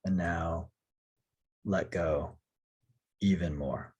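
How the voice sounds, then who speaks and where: Slow, low, husky male meditation guide. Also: lo-fi sound. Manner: calm, soothing, long pauses between short phrases. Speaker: yoga nidra teacher.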